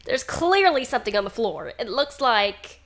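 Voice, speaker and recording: A woman's voice: a little high, very animated, moderate pace, fairly bright tone, slight background noise. A woman co-hosting a game stream, mixing casual, sweary banter with reading game text aloud. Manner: irreverent, sweary.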